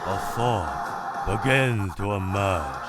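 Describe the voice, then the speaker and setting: A man voicing a cold, logical male robot scientist who narrates in a clinical, measured, detached way for a comic dub. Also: low voice, expressive. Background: loud music.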